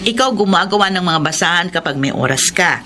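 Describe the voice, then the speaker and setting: A woman's voice: on the low side, expressive, fairly quick, background noise, lightly acted. An actress playing a caring, hard-up mother who works as a housemaid in a radio drama, plain-spoken and deferential to her employer. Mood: warm, practical. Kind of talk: Tagalog radio drama acting.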